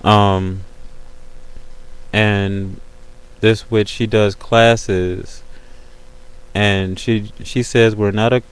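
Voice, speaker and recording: A man with a low, smooth voice talks casually and in slang, as host of a webcam call. His delivery stays fairly flat and even, with frequent repeated tag phrases. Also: extremely slow, long pauses, low-quality recording. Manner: slangy, repeats "you know what I'm saying". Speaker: host of an online video call. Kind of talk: casual chat.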